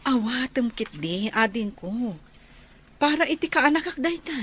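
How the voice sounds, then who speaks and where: An actress playing a caring, generous elder sister in an Ilocano radio drama, with an Ilocano accent and a husky voice. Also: female voice, on the high side, expressive, measured pace, a bit bright, full character voice. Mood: gently insistent.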